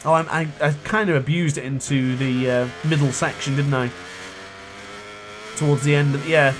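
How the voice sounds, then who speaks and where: A young man with a cold-hoarsened voice, chatting and thinking aloud while he commentates his own racing game play. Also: fairly high pitch, fairly even intonation, moderate pace, noisy background. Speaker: gaming youtuber. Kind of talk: live gameplay commentary.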